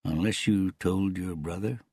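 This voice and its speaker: A man reading an audiobook as a controlled, quietly threatening middle-aged uncle with a Canadian accent. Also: deep voice, a little slow, breathy edge, dry recording, studio quality.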